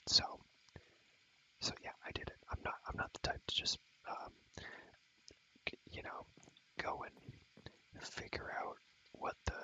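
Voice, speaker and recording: A young man whispering in a casual ASMR game tour, breathy and slangy, softly repeating his phrases. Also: low-quality recording. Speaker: video creator showing a game world.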